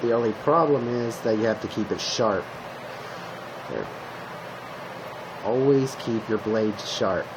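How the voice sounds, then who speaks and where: Halting man in a noisy amateur recording. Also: fairly low pitch. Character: calm male zombie apocalypse survivor giving weapon tips. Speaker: roleplay video creator playing a zombie survivor. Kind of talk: casual improvised roleplay monologue to the listener.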